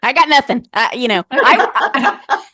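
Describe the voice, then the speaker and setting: A woman podcast co-host from New York, chatty and animated, who jokes about herself. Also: high voice, normal speed, no room sound, studio quality. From New York State. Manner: chatty, animated, self-deprecating. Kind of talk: casual podcast chat.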